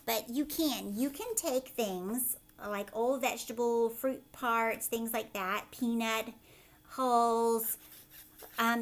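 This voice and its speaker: A woman teacher doing a children's read-aloud, adding chatty explanations in simple words and often checking in with short questions. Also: fairly high pitch. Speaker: teacher reading a picture book aloud to children.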